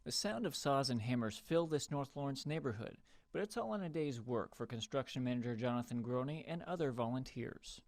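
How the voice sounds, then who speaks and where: A man's voice: mid-range voice, expressive, a little fast, few pauses, darkish tone, no echo. A man with an American accent reading a scripted television news report as a field reporter.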